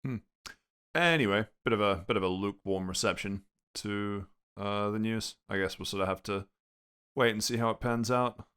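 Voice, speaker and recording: A man's voice: lowish voice, moderate pace, a bit bright, smooth. A man with a New Zealand accent hosting a casual gaming podcast, easygoing and reading listener questions aloud to his co-hosts. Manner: laid-back, reads listener questions aloud. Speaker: podcast host.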